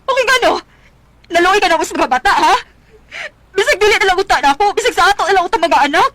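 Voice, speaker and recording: A woman's voice: very high-pitched, lively intonation, fast, a bit bright. A woman playing a poor, overburdened mother fed up with her irresponsible husband, acting in a Filipino radio drama with a Cebuano accent. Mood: angry, tearful.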